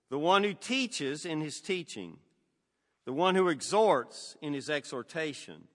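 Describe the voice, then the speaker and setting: Older Southern pastor in a reverberant hall. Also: male voice, fairly high pitch, bright, forceful tone. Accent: Southern American. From American South. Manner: measured, folksy, with frequent fillers. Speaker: guest pastor. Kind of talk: sermon with scripture reading.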